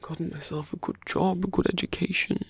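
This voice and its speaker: A man acts out a sardonic, seductive fantasy villain in an ASMR roleplay. His voice is breathy and husky, heavy with fry. He murmurs slowly and intimately, very close to the microphone in a dry recording. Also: high-pitched, fairly even intonation, fairly bright tone, dry recording, heavily acted. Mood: confiding, bitter.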